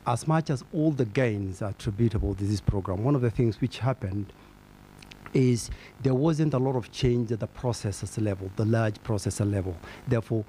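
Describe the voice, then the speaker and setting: Mellow man, discursive. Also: on the low side, normal speed, a little hiss or hum. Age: middle-aged. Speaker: conference participant asking a question. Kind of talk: extended question and comment at a panel discussion. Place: indoor conference meeting room. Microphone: handheld microphone.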